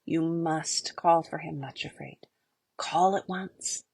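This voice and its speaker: A woman voicing two gentle but firm female guides in a storybook, slow and urgent. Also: low-pitched, steady tone, husky, full character voice.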